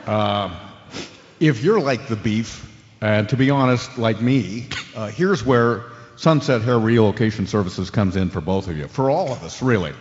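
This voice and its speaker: A man's voice: on the low side, moderate pace, close to an everyday voice. An actor playing an unflappable male sports talk radio host, moving between interview banter and polished ad-read patter. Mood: salesman-like, smooth. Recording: dull audio in a reverberant hall.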